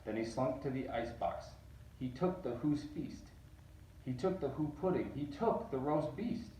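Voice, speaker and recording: A man reading a picture book aloud, animated and rising on repeated words. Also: medium pitch, moderate pace, a little hiss or hum. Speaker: reader. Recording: roomy echo, distant mic.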